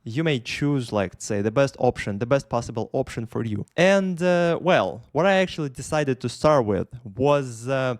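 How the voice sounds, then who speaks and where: A man's voice: medium pitch, no room sound, studio quality. A man from Russia with a Russian accent, talking unscripted to his camera and rambling with many fillers and restarts. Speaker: YouTuber. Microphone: camera in front of him.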